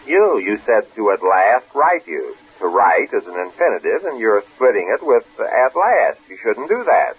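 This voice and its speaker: Pedantic husband, muffled old radio. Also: male voice, mid-range voice, big pitch swings, moderate pace, hardly any pauses, husky. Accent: American. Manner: dry, pedantic, needling. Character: dry, pedantic, teasing husband. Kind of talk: 1940s American radio comedy acting.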